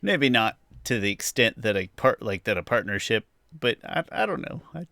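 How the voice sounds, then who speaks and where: A man's voice: fairly low pitch, big pitch swings, normal speed, vocal fry, pushed and bright, no room sound, studio quality. A man co-hosting a podcast, chatting casually and with opinions about a TV show. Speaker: podcast co-host. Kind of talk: casual TV review chat.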